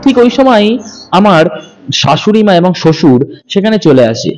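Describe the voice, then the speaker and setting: A man narrating a true horror story in the first person, earnest and pausing to correct himself now and then. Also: high voice, very animated, a little mellow, dull audio. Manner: earnest, with small self-corrections.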